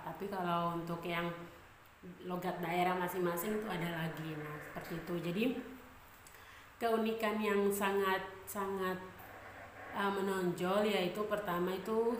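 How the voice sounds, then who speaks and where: A woman's voice: lowish voice, steady tone, a little slow, long pauses. A woman from Papua with a Papuan Indonesian accent, chatting casually to her channel's viewers, with many fillers and repeated phrases. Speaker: vlogger. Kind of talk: casual vlog talk to camera. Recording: echoey room with clear background noise.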